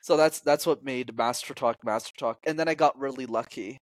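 A young man in his twenties speaking as a communication coach in a casual interview, lively, acting out quoted voices with sudden jumps in pitch. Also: a little fast, dry recording.

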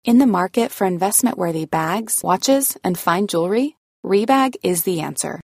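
A woman's voice: mid-range voice, lively intonation, moderate pace, gravelly, a little mellow, no echo, clean studio sound. A woman announcer reading a scripted advertisement in a polished, promotional way. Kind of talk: scripted ad read.

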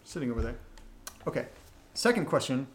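A man's voice: mid-range voice, big pitch swings, fairly quick. A man co-hosting a casual podcast Q&A, joking around and steering the chat back to the questions. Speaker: podcast host reading patron questions. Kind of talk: casual Q&A banter.

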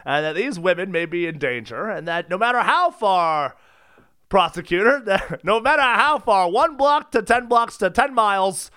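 High, bright-voiced man joking around. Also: expressive, normal speed, clean tone. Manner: jokey, laughs often while talking. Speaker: co-host. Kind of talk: comedic podcast banter.

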